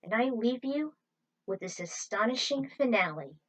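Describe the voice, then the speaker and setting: A woman's voice: fairly high pitch, lively intonation, normal speed, poor audio. A woman speaking as a fan fiction writer and channel host, addressing fans in an earnest, effusive way with author's notes and a sign-off.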